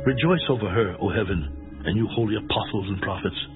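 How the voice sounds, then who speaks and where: A man narrating a dramatized scripture reading in a solemn, measured manner. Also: fairly low pitch. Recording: tinny band-limited audio under loud music.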